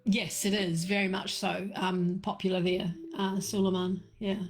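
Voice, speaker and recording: A middle-aged woman hosting a live chat about tea, talking slowly with lots of fillers, her voice a little croaky. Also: medium pitch, little pitch movement, faint music behind. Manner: slow, meandering, many fillers and restarts. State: slightly croaky. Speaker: livestream host answering viewers.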